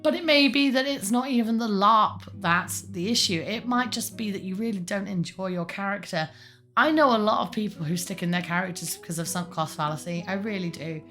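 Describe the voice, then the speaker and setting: A woman with a croaky voice, giving rambling, chatty advice to her viewers with self-mocking asides. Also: mid-range voice, brisk, fairly bright tone, faint music behind. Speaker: larp advice host. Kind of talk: casual advice monologue.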